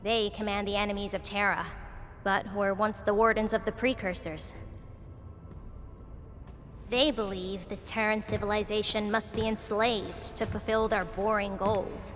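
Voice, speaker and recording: A woman playing a composed, confident video game comrade who explains things in a measured way. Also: mid-range voice, level tone, a bit bright, big-room sound, slight background noise, lightly acted. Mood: explanatory.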